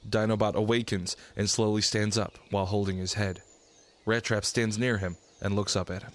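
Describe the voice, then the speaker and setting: A man's voice: lowish voice, flat delivery, moderate pace. A man reading scripted scene narration for a radio drama.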